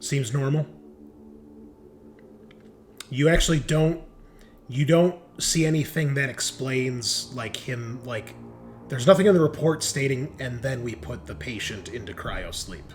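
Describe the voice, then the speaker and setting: A man improvising narration as game master of a tabletop roleplaying game, speaking conversationally and often pausing mid-sentence to think. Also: mid-range voice, soft background music.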